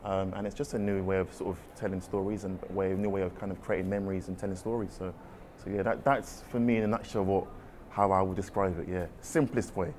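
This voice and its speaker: Artist guy, deep voice, talks fast, lots of sort of and kind of. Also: steady tone, background noise. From London, England. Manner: rambling, hedging with "sort of" and "kind of". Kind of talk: casual interview answers. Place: art exhibition space.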